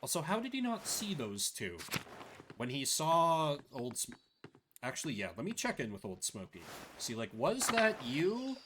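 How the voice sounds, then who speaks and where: Animated male game streamer, very lively pitch. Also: a little slow, background noise. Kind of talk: casual playthrough commentary.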